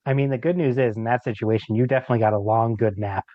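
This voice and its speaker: A man with a mid-pitched voice chats casually as a podcast guest. His talk is loose and informal, and the recording is dry and a little dull. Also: steady tone, fast, dry recording. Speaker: podcast guest, creator of an audio drama. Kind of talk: casual chat.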